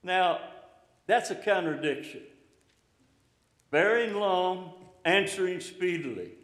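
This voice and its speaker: An older man preaching an informal, encouraging sermon in a slow, plainspoken way. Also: sits high, fairly bright tone. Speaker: pastor. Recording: echoey room, hall reverb.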